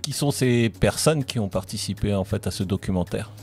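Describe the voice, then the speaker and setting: Low-voiced male radio interviewer. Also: normal speed, darkish tone, slightly rough, faint music behind.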